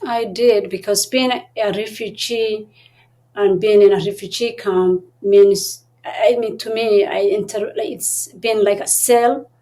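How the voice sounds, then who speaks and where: Woman, mellow warm tone, soft-spoken, thoughtful pauses, interview guest. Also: medium pitch, fairly even intonation, low-quality recording. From Somalia. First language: Somali. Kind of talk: interview conversation.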